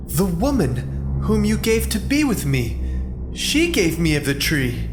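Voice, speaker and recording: A man voicing the first man in a dramatized scripture audio drama, speaking slowly and earnestly. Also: sits high, huge pitch swings, exaggerated voice. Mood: afraid. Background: background music.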